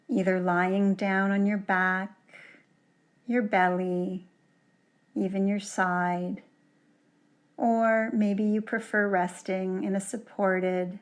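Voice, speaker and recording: A woman yoga teacher leading a guided relaxation in a gentle, soothing manner, leaving long pauses between short phrases. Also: mid-range voice. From Canada.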